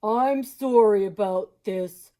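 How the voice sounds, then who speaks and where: A woman voicing a jolly, polite male moose who coaxes sweetly and draws out his words slowly. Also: medium pitch, warm tone, lightly acted. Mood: cheerful. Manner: sweetly coaxing, drawn-out delivery.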